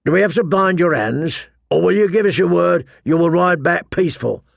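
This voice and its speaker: A man's voice: high-pitched, expressive, moderate pace, vocal fry, dry recording, clean studio sound, pitched above the performer's own voice, heavily acted. A man voicing a commanding young ringleader of boy recruits in an audiobook, challenging and intoning. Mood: firm.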